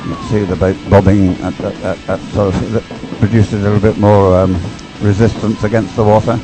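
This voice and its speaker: A man giving unscripted live race commentary, explaining as he goes in a hesitant, halting way and repeating words. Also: on the low side, level tone, music in the background, low-quality recording. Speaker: sports commentator.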